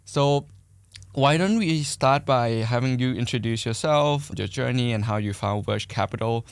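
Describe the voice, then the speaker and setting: A man's voice: mid-range voice, moderate pace, vocal fry, smooth, no echo. A man hosting a podcast, introducing and interviewing a guest in a conversational style. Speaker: podcast host.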